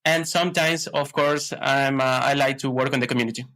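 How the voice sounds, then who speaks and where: A man's voice: mid-range voice, fairly even intonation, a little fast, a bit bright. A man from Ecuador, answering podcast questions in a hesitant way, with many fillers and restarts. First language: Spanish. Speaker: podcast guest. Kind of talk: casual interview answers.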